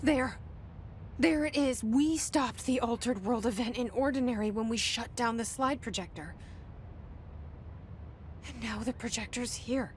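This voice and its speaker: A woman's voice: a little high, a little slow, pauses often, a little hiss or hum, close to an everyday voice. An actress with an American accent playing a determined young woman trying to save her brother in a game cutscene, blunt, wry and restrained. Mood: hopeful, thinking aloud. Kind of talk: video game cutscene acting, naturalistic.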